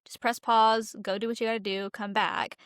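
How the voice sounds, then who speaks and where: A woman's voice: on the high side, flat delivery, normal speed, fairly bright tone, clear, resonant tone, no room sound, studio quality. A woman co-hosting a casual podcast, chatty and sprinkling "like" through her sentences. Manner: chatty, heavy use of "like". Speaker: podcast co-host.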